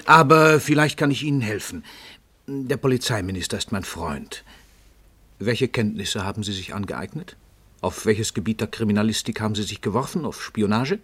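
An actor playing an imperious, irritable, self-important famous author in a radio play, pompous and brusque. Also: male voice, on the low side, moderate pace, fairly bright tone, breathy edge. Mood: wry, lofty.

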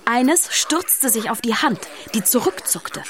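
A woman's voice: a little high, expressive, takes it slowly. A woman narrator reading scripted narration for a children's radio play. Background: music.

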